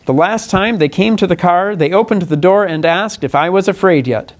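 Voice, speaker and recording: A man giving a prepared press conference statement, speaking in an earnest, deliberate way with halts and self-corrections. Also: sits high. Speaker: congressional candidate. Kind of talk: press conference statement, partly read aloud.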